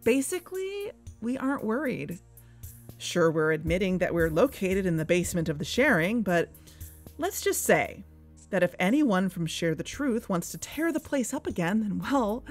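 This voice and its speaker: Wry, earnest female podcast investigator played by a woman, mid-pitched, confiding narration, light music underneath. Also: sing-song, normal speed. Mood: wry, confident. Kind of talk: conversational scripted audio drama narration. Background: background music.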